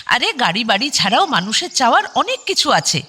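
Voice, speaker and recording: Bright, teasing ghostly wife. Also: female voice, a little high, very animated, brisk, breathy edge, full character voice. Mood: needling. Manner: needling, coaxing. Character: dead wife as talking portrait, teasing, sulky, doting mother. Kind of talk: Bengali radio drama acting.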